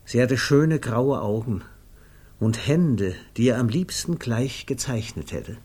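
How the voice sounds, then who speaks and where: A man narrating a literary 1950s radio play in a measured, deliberate, storytelling way. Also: mid-range voice, animated, a little mellow, husky. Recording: faintly noisy vintage radio recording.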